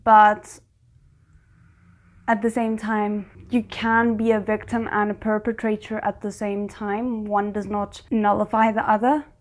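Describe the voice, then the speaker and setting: A woman's voice: mid-range voice, flat delivery, a little slow, slight background noise. A woman hosting a reaction video, reacting emotionally and then talking through the episode thoughtfully. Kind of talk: casual reaction commentary.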